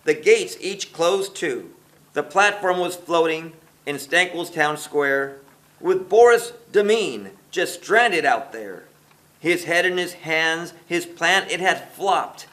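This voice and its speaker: Lively, high-voiced male storybook reader. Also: slow. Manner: expressive, sing-song rhythmic delivery. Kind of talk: rhyming children's story narration.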